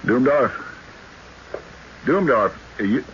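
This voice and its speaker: An American actor playing a folksy old-West sheriff with a rustic drawl in a radio drama. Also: male voice, medium pitch, lively intonation, slow pace. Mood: loud, urgent. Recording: muffled, noisy old radio broadcast.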